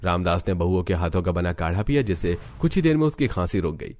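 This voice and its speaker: A man's voice: low-pitched, big pitch swings, fast, darkish tone, dry recording. A man reading scripted narration for a family cartoon story.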